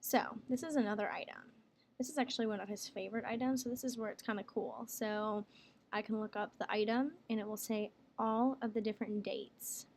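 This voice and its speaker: A woman working as a software trainer, explaining each step plainly in a casual screen tutorial. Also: fairly high pitch, fairly even intonation, a little fast. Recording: clear background noise, room ambience.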